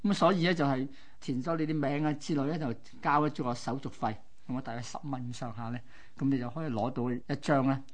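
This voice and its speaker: A man's voice: mid-range voice, fairly even intonation, fast talker. A man with a Hong Kong Cantonese accent co-hosts a radio chat in a mid-range voice. He explains casually, laying out details piece by piece with plenty of colloquial particles. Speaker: radio co-host.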